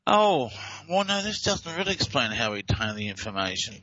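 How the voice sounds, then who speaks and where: A man's voice: mid-range voice, big pitch swings, brisk, sharp tone, no room sound, lo-fi sound. A man presenting and explaining recorded clips in a casual, hesitant way, with many fillers and restarts. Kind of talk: casual explanatory talk over played clips.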